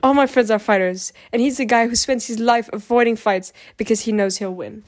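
Female agent, self-possessed, candid and flirtatious, fairly high pitch, storybook role read by a woman. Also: a little fast, natural voice. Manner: playful, candid.

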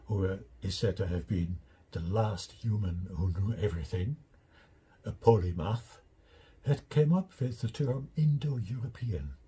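Older Dutch-accented male lecturer, deep and mellow, deliberate, walks through his theory step by step. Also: slightly rough. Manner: deliberate, explains step by step with asides. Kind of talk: slide lecture.

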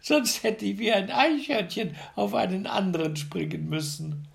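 A man's voice: high voice, moderate pace, creaky, voice pushed up. A man voicing a small, boastful young tailor who brags brashly in a fairy tale reading. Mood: cocky.

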